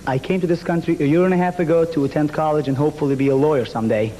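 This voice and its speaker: A young man from Lebanon, a game show contestant, speaks quickly and earnestly, backing up and restarting his sentences. His voice has a hall's long reverb and some noise around it. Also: fairly high pitch, fairly even intonation, mellow. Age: young adult. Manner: fast-talking, earnest, with restarts.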